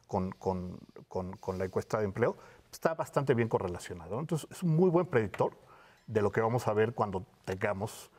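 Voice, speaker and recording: A man, explaining as a studio guest in a halting, self-correcting way. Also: on the low side, expressive, a little mellow, slightly rough. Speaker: academic researcher, studio guest. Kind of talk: televised panel interview, explanatory. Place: television studio panel desk. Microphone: lapel.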